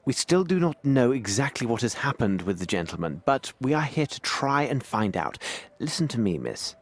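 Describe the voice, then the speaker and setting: An actor playing a shrewd English gentleman detective in a video game, with a British accent and precise, courteous, commanding speech. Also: mid-range voice, sing-song, normal speed, soft background music. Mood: courteous, earnest. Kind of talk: video game cutscene acting.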